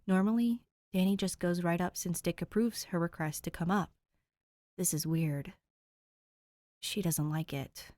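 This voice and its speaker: A woman's voice: on the low side, a little slow, long pauses, no echo, clean studio sound. A woman reading a fan fiction story aloud, narrating expressively. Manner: expressive, voices characters. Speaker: fanfic podfic reader. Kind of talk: audiobook narration.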